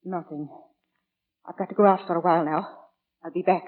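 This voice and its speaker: A woman's voice: fairly low pitch, steady tone, fairly quick, lightly acted. An actress playing an anxious, deferential middle-aged landlady in an old radio drama, nervous and hesitant. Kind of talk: 1940s radio drama acting. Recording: dull vintage radio recording.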